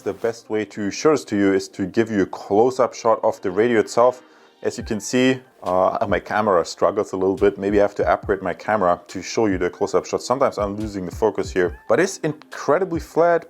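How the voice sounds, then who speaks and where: A man presenting a casual, explanatory tech review, speaking enthusiastically and directly to viewers. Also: medium pitch.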